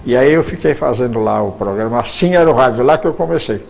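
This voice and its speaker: A man's voice: medium pitch, lively intonation, fast talker, creaky, darkish tone, slight background noise. An older Brazilian man, a radio broadcaster, answering interview questions at length, often repeating words as he searches for them.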